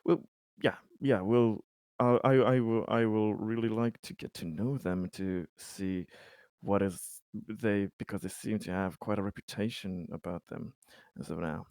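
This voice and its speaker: A man playing a tabletop roleplaying game, improvising in character and out, with halting, stammering delivery. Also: on the low side, a little mellow, no room sound, studio quality.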